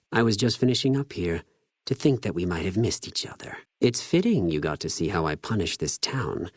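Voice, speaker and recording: A low-voiced, coldly menacing fanatical spy. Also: male voice, slightly rough, no room sound, clean studio sound, full character voice. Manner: measured, formal, contemptuous, menacingly polite. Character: cold, fanatical male legion spy and infiltrator, menacingly courteous. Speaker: video game villain. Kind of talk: scripted game character dialogue.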